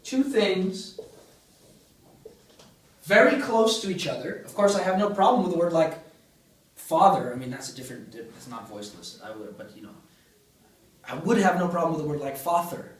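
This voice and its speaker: A man's voice: on the high side, animated, normal speed, long pauses, recorded from a distance. Man lecturing in an echoey hall. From Greece. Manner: explains step by step, asks the class questions. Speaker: linguistics lecturer. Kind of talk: university lecture. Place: large lecture room.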